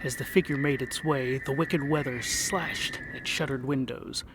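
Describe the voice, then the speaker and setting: A man narrating a comic fantasy tale as the show's host, theatrical, dropping to hushed whispers and rising to sudden bursts. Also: mid-range voice, fairly even intonation, normal speed, heavy background noise. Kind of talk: scripted audiobook narration.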